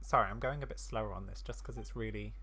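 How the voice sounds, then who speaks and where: A man's voice: lowish voice, fast, sharp tone, a little hiss or hum. A man giving casual, informal commentary as he plays a video game. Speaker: gaming streamer.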